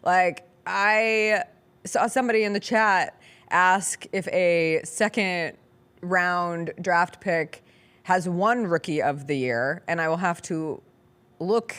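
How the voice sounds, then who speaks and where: A woman's voice: lowish voice, slow, fairly bright tone, smooth. A woman hosting a sports talk show, chatting casually and playfully with emphatic delivery.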